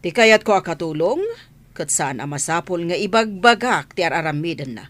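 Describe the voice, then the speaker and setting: A woman's voice: fairly low pitch, animated, normal speed, fairly bright tone, dry recording. A Filipino woman playing a haughty, scolding mistress of a mansion in a radio comedy drama. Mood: haughty, bossy. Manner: imperious, scolding. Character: haughty, bossy mansion mistress, mother of a grown son.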